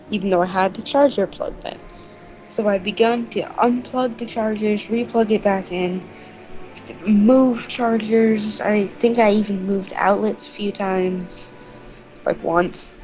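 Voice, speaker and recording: A woman's voice: medium pitch, darkish tone, poor audio. A woman co-hosting a story-time show, telling everyday stories in a casual, chatty style.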